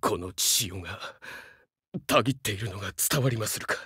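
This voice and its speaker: A man's voice: on the low side, a little fast, sharp tone, breathy edge, no room sound, studio quality, heavily acted. A man voicing a young, fiercely loyal dog-man samurai in a Japanese game, speaking earnestly in formal, archaic warrior speech with dramatic delivery. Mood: solemn, wistful.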